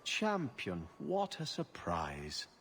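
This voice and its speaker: A man's voice: medium pitch, huge pitch swings, extremely slow, a bit bright, slight background noise, full character voice. A man playing a sultry, flamboyant courtesan in a fantasy game, teasing and flirtatious in a drawl.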